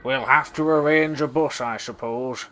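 A man's voice: a little high, lively intonation, a little slow, a little hiss or hum, voice pushed up. A man voicing a kindly, portly railway boss who speaks in a mild, good-humoured way. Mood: fatherly.

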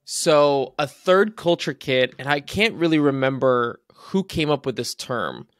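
A young man from Bulgaria, a native Bulgarian speaker, talking casually and quickly as a podcast guest over a video call from home, using lots of filler words. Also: mid-range voice, lively intonation, a bit bright, no echo, studio quality. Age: young adult. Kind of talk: casual interview talk. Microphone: studio mic on desk.

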